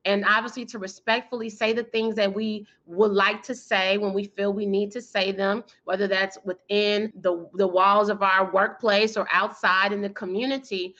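A woman in her thirties or forties, guest in an interview, talking energetically and emphatically with a loud, powerful voice and frequent laughter. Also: mid-range voice, flat delivery, normal speed, few pauses, muffled recording. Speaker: guest, PR firm founder and speaker.

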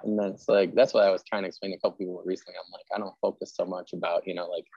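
Deep, gravelly man chatting casually. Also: flat delivery, very fast, a little mellow, no room sound, muffled recording. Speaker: podcast host interviewing an author. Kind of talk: casual, rambling interview chat.

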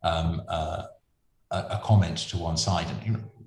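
A man's voice: deep voice, steady tone, a little slow, a little mellow, echoey room. A man, a friar and preacher, speaking thoughtfully in an interview, hesitant, with many ums and restarts. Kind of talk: reflective interview conversation.

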